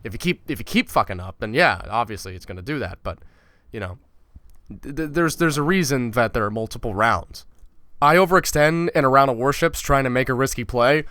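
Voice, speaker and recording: A man's voice: medium pitch, a little fast, bright, forceful tone, dry recording. Blunt, cussing gaming YouTuber guy. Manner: blunt, profane, conversational, with stumbling repeats. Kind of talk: casual ranting commentary.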